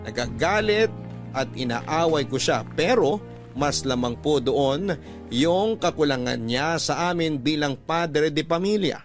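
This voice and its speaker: A man's voice: fairly high pitch, lively intonation, a little slow. A man narrating a first-person confessional letter in a dramatic radio storytelling style. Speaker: narrator reading a listener's letter. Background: background music.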